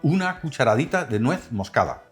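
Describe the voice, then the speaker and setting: Male cooking host, animated delivery over faint music. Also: mid-range voice, normal speed. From Netherlands. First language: Dutch.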